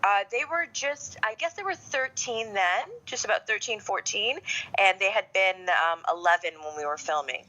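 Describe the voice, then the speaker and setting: A middle-aged woman from Canada talking as a podcast guest in a measured, reflective, conversational way. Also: medium pitch, bright, forceful tone, low-quality recording. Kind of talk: conversational interview.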